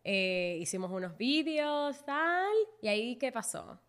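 A woman's voice: fairly high pitch, expressive, slow pace, a bit bright, clean tone. A woman from the Republic, co-hosting a casual podcast chat and talking with enthusiasm, often restarting her sentences. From Dominican Republic. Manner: enthusiastic, restarts and trails off with fillers. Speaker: podcast co-host.

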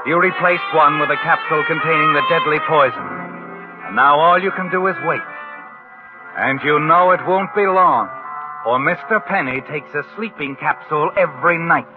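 Loud music swells under a tinny old radio recording of a male actor as an ominous narrator. The voice is fairly high for a man, insinuating and dramatic, like a taunting conscience in a 1940s thriller. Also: moderate pace, natural voice.

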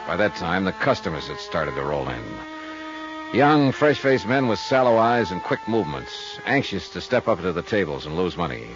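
An actor playing a dry, world-weary private investigator with terse, wry delivery in a 1950s radio detective drama. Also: male voice, deep voice, sing-song, normal speed. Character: dry, hard-boiled male private investigator narrating his case. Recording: muffled old 1950s radio recording, music behind.

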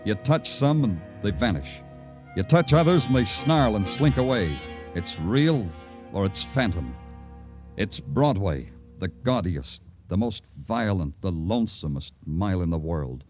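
An actor playing a terse, grim New York police detective in an old radio crime drama, with a clipped, world-weary delivery. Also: male voice, fairly low pitch, lively intonation, unhurried, a little mellow. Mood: brooding, world-weary. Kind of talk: 1940s-50s radio crime drama acting. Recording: old radio broadcast, music underneath.

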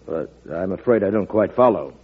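An anxious male aide in a vintage radio drama. His voice is husky, his manner deferential and hesitant. The old recording sounds muffled. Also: sits low, animated, normal speed, heavily acted. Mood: deferential, uneasy. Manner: polite, hesitant, pleading. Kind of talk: 1940s radio drama acting.

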